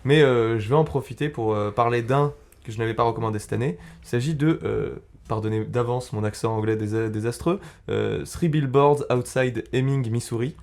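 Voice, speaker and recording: A man's voice: mid-range voice, normal speed, vocal fry, darkish tone. A man with a mid-range voice talks casually on a podcast panel. He urges listeners eagerly toward a film, with plenty of hesitant fillers along the way. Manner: enthusiastic, urging, hesitant fillers. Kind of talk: casual chat, recommending a film.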